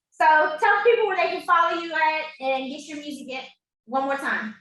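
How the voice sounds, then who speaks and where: A woman's voice: sits high, sharp tone. A woman in her twenties hosting an interview, friendly and upbeat. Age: 20s. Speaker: interviewer host. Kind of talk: casual interview chat. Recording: echoey room, distant mic.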